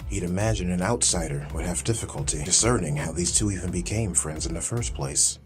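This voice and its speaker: A man's voice: low voice, brisk. A man reading scripted dramatic story narration as an audiobook narrator. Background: music.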